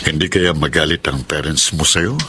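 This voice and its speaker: A man's voice: extremely low pitch, moderate pace, sharp tone, slight background noise. A man acting a tender, earnest and devoted lover in a radio drama. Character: devoted, doting male lover, eager to marry.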